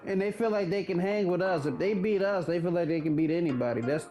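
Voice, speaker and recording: Thirty-year-old man, high voice, casual blunt gamer commentary over music. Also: quick pace, mellow. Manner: laid-back, blunt, swears casually. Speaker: gaming commentator.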